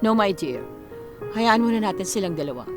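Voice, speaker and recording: A woman playing a caring, coaxing mother in a radio drama, expressive and mixing English into her speech. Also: mid-range voice, brisk, over music, lightly acted. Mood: composed, caring.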